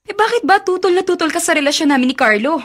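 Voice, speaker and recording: A woman's voice: very high voice, expressive, fast talker, a bit bright. A woman acting in a Filipino radio romance drama as a heartbroken, indignant young college student. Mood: hurt, questioning. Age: young adult.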